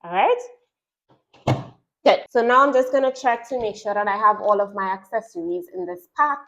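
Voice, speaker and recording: A woman's voice: a little high, animated, normal speed, a bit bright, muffled recording. A woman with a Trinidadian accent, a sewing teacher chatting through an unboxing and explaining each part step by step. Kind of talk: product unboxing and tutorial.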